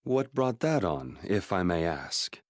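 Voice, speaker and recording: An actor playing a shrewd, courteous elder-brother prince and statesman in an English anime-dub audio drama, measured and diplomatic. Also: low voice, very animated, dry recording, studio quality, exaggerated voice.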